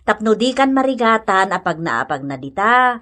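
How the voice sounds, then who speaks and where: A woman's voice: mid-range voice, very animated, moderate pace, fairly bright tone. A woman playing a kindly, chatty market stallholder in a radio comedy drama, with an Ilocano accent. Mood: encouraging.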